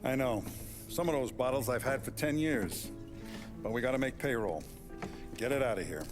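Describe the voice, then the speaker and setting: An actor playing a shrewd middle-aged American mob boss in a game cutscene, speaking tersely and with authority. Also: male voice, mid-range voice, normal speed, close to an everyday voice. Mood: weary, authoritative. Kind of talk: video game cutscene acting. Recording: music behind the voice.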